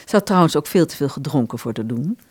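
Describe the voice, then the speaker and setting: A woman narrates a literary radio play. Her voice is on the low side and very varied in melody, an expressive storyteller. The recording is close and dry. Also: quick pace, slightly rough, dry recording.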